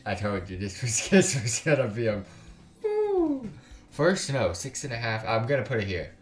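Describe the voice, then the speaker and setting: A man's voice: medium pitch, huge pitch swings, normal speed. A man hosting a casual gaming live stream, chatting informally to viewers with slang and swearing. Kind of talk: casual live-stream chat with game text read aloud. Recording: roomy room echo, music behind. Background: music.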